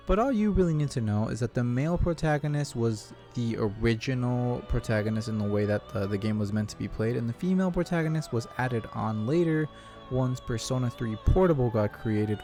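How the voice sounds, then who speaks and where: Casual video game host, mid-pitched voice, enthusiastic and rambling, music under the commentary. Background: background music.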